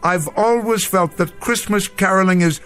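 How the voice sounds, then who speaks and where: Gentle, reflective old professor. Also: male voice, sits high, moderate pace, clean tone. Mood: grateful, warm. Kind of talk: radio drama acting. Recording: faint music behind the voice.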